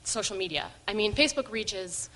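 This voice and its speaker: A woman's voice: medium pitch, animated, brisk, fairly bright tone, poor audio. A young woman asking a question from the audience, speaking hesitantly with many fillers. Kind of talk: question from the floor. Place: lecture hall.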